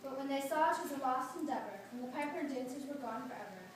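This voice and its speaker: A woman's voice: sits high, fast. A woman narrating a verse tale as part of a readers theatre performance. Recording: distant, very echoey room, faint noise.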